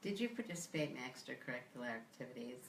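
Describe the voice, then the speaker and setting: Very deep-voiced woman hosting an interview. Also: lively intonation, quick pace, creaky, echoey room. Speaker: host. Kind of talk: interview host.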